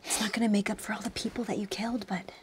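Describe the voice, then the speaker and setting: An actress playing a tense, bossy, pragmatic woman in hiding, speaking urgently and hushed in a comedic audio drama. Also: high voice, lively intonation, fast talker, slight background noise. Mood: coaxing. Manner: urgent, hushed, foul-mouthed.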